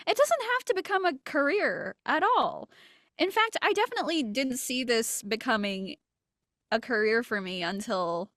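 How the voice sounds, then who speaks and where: A woman's voice: on the high side, expressive, moderate pace, fairly bright tone, dry recording, studio quality. A woman speaking casually as an interview guest, candid and self-deprecating, with some loose swearing. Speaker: interview guest, voice actor and illustrator.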